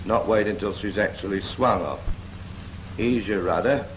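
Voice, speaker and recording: Brief: male actor as firm, patient British naval instructor, lowish voice, brisk teaching questions, noisy 1940s film soundtrack. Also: lightly acted. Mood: instructive. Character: patient, brisk Royal Navy instructor teaching a novice helmsman. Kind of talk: scripted 1940s training film dialogue.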